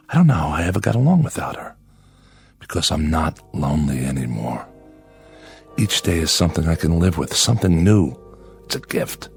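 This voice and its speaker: A low male voice with wide, expressive swings, playing a lonely, yearning man in a radio drama. He is devoted to his machine companion and quick to defend that life. Faint music sits behind him. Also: vocal fry, full character voice. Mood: wistful, confessional. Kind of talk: dramatic radio acting with confessional narration.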